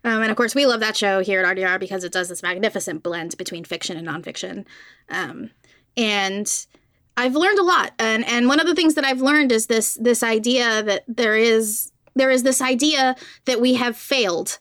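Female interview host, higher-pitched, informal and conversational, no room echo at all. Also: normal speed, fairly bright tone, studio quality.

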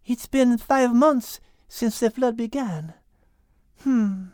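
A woman's voice: on the high side, slow, warm tone, no room sound, clean studio sound, pitched above the performer's own voice. A woman voicing a composed, clever female cat with a French accent and a polite, gracious manner in an audiobook. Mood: thoughtful.

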